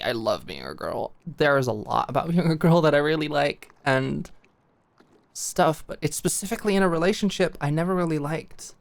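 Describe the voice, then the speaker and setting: A young woman playing a smitten, protective girl at camp in an intimate ASMR roleplay, speaking earnestly and haltingly. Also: low-pitched, creaky, no room sound. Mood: earnest, flustered. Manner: halting, earnest, giggly, stammering. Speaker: audio roleplay performer.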